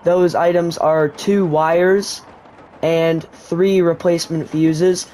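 A man's voice: a little high, fairly even intonation, slow pace, dark tone, a little hiss or hum, rough recording. A man narrating a video game tutorial, explaining step by step how to use an item. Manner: explanatory, walks viewers through steps. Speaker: gaming YouTuber. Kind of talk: gameplay tutorial commentary.

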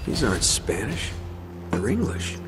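A wry American treasure hunter from an adventure game. He mutters sardonically at a slow pace, with big swings in pitch. Loud music plays behind him. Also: huge pitch swings. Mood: musing. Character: wry, scrappy male treasure hunter in his thirties. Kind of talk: video game voice acting.

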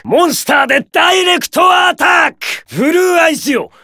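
An arrogant young male duelist from an anime game, his voice pushed very high and loud. He is domineering and theatrical, barking each command with a bright, forceful edge. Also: animated, fairly quick, vocal fry, no echo, studio quality, heavily acted. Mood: aggressive, commanding. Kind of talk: anime video game battle voice lines.

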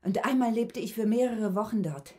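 An actress playing a subdued, withdrawn cook in her thirties, answering quietly and matter-of-factly in a radio drama. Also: fairly high pitch, natural voice. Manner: quiet, halting, reticent, matter-of-fact. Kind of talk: German radio drama acting.

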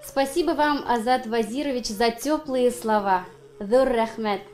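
Young woman, high formal announcing voice. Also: unhurried, a little mellow, soft background music. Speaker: event presenter. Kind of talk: formal scripted presenting. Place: indoors in front of a logo backdrop.

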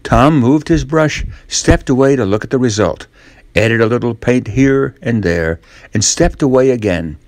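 A man's voice: mid-range voice, expressive, unhurried, darkish tone, dry recording. Older man narrating, very dry recording. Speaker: storyteller. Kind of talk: scripted story narration.

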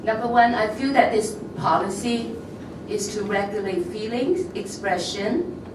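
A woman whose first language is Cantonese, giving a careful, halting public comment at a packed meeting. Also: medium pitch. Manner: careful, halting, with many pauses. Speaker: public speaker at school board meeting. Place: large packed meeting room. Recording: echoey, distant, noisy room recording.

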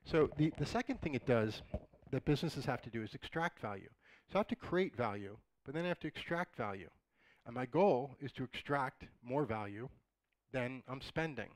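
A middle-aged man talks through a conference lecture in a relaxed way, dropping joking asides to the audience. His voice is slightly raspy and sits at an ordinary pitch. The sound is dry and close. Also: dry recording, poor audio. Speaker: conference speaker. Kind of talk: conversational lecture. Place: dark auditorium, in front of a projected slide.

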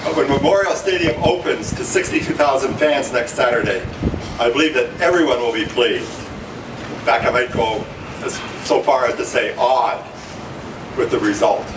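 A man's voice: medium pitch, lively intonation. An older man, a university chancellor, giving formal prepared remarks at a press conference. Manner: formal, measured delivery. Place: conference room at a stadium. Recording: echoey room from far off, noisy.

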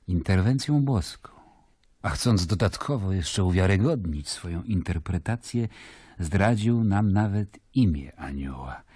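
A weary Roman governor telling his story in a radio drama. His voice is low and slightly husky, and he speaks slowly. The tone is reflective and dryly ironic. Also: mellow, dry recording, clean studio sound, heavily acted. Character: Pontius Pilate, weary Roman governor of Judea narrating a report.

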